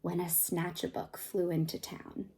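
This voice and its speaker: A woman reading a children's picture book aloud expressively, pausing to ask her young listeners questions. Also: on the low side, flat delivery, warm tone. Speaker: read-aloud host, storyteller.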